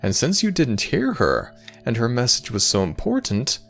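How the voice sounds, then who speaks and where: Casting: male actor as teasing Egyptian god of the afterlife, lively swooping delivery, sly dramatic pauses, fantasy audio drama over music. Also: medium pitch, creaky, exaggerated voice. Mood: solemn, measured. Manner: theatrical, sly, measured storytelling with dramatic pauses. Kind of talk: scripted fantasy audio roleplay.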